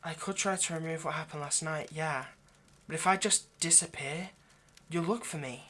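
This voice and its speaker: A man voicing an ageless vampire lover in an intimate audio roleplay, possessive yet remorseful, speaking in a halting, earnest, pleading way. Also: fairly high pitch, bright, forceful tone. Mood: resigned, sad. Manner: halting, earnest, pleading, dropping to near-whisper.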